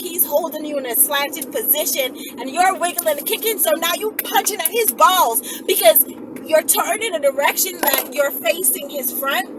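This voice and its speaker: A woman telling a story casually to her viewers in an animated, emphatic, sweary manner. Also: extremely high pitch. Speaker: channel host. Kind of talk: casual self-defense storytelling, acted-out scenario. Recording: distant mic with clear background noise.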